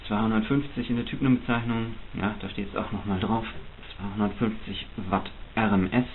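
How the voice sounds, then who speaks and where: A man with a fairly low voice talks through an unboxing in an off-the-cuff way. He explains technical details like a hobby reviewer. The recording is narrow and phone-like, with some hiss. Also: fairly even intonation, a little fast. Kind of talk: spontaneous unboxing commentary. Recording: muffled phone-like sound, clear hiss.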